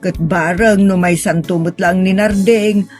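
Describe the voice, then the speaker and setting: A woman's voice: medium pitch, steady tone, unhurried, darkish tone, faint music behind, lightly acted. A woman playing a patient, middle-aged mother, earnest and consoling, in a sentimental audio drama. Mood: gently consoling.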